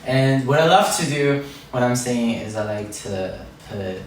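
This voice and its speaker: A man's voice: medium pitch, expressive, normal speed, a little hiss or hum. Chatty young man from Thailand. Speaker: boy band singer. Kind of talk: interview answers. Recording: echoey room, mic at a distance.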